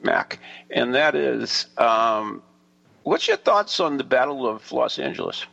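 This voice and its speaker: A man's voice: medium pitch, very animated, slow pace, vocal fry, pushed and bright. A man hosting a radio talk show who jokes and rambles. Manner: joking, rambling. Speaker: host. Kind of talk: casual radio chat. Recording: dull lo-fi sound.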